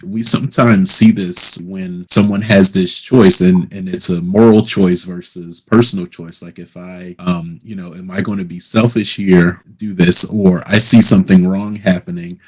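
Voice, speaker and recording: Guy interviewer, low husky voice, reflective, kinda halting as he talks stuff through. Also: fairly even intonation, rough recording. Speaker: interviewer, host. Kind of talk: conversational interview talk.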